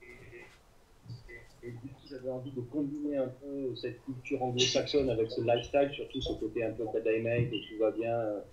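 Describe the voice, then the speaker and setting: A French man, a chef and restaurant owner, talking as a guest over a video call in a relaxed, rambling way. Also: medium pitch, moderate pace, lo-fi sound. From France. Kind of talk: casual interview over a live video call. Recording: echoey room with noise.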